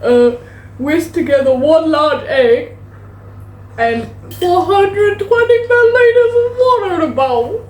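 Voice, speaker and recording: Young woman doing a cowboy outlaw cooking, high and slow, giggly drawl, echoey kitchen with pans clanking. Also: lively intonation, far from the mic. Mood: playful. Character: gruff male outlaw cowboy, playful cooking parody. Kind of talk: casual jokey cooking video. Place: home kitchen. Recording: roomy kitchen from off mic. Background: dishes and cutlery clatter.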